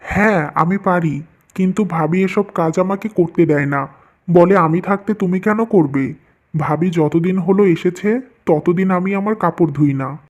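A man's voice: high-pitched, measured pace, dull audio. A man voicing a mild-mannered young husband in a cartoon story. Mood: agreeable. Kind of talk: Bengali cartoon story dubbing.

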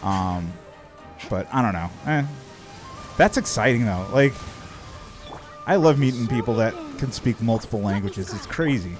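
A man's voice: fairly low pitch, expressive, measured pace, pauses often. A man chatting casually while he streams a game, his speech loose and rambling, full of "like" and "uh" and restarts. He talks into a close studio mic in a small home room, with faint game music underneath. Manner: rambling, many fillers and restarts. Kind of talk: casual chat while gaming. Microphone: studio mic in front of face.

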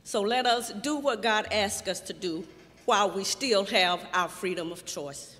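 An older woman giving an earnest, measured announcement at a church microphone. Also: medium pitch, fairly bright tone. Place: church hall. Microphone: stand mic. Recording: reverberant church hall.

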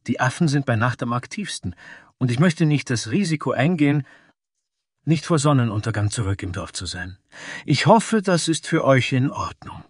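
A man voicing an old dragonkin carpenter in a fantasy audio story, measured and fair, with a cautionary manner. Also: mid-range voice, animated, dry recording, clean studio sound, pitched above the performer's own voice, natural voice. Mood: calm, fair.

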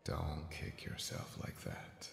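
Casting: male actor for a guarded young bully in intimate ASMR roleplay, extremely low voice, slow and halting, gruff but secretly tender. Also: very animated, exaggerated voice. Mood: dejected, self-doubting. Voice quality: husky, gravelly, breathy voice. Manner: hesitant, halting, often dropping into a whisper.

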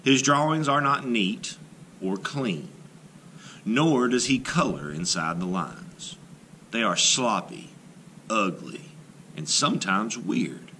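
Male storybook reader, lowish and forceful, dramatic phrasing with deliberate pauses. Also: lively intonation, slight background noise. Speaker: art teacher reading a picture book aloud. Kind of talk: expressive children's picture book read-aloud.

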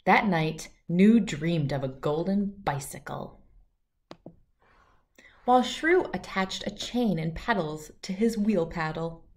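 A woman's voice: fairly low pitch, expressive, takes it slowly, a little mellow. A middle-aged woman narrating a children's storybook as a read-aloud host.

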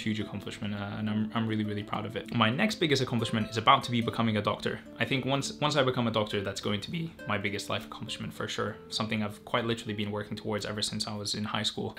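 A man's voice: on the low side, over music. A man in his twenties, a medical student answering viewer questions in a casual vlog at home. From Greece. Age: 20s. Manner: quick, energetic, reads out viewer questions. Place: home room or desk setup. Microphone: camera facing him at close range.

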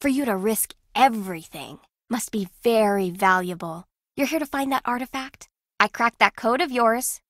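A woman's voice: fairly high pitch, expressive, moderate pace, a bit bright, no echo, studio quality. An actress voicing a cool, competitive female contestant in a video game, terse and guarded.

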